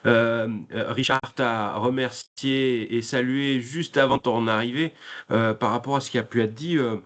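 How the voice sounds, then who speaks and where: A man moderating a professional discussion off the cuff, pausing often between short phrases. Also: medium pitch, steady tone, fairly bright tone. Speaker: moderator of a thematic meeting.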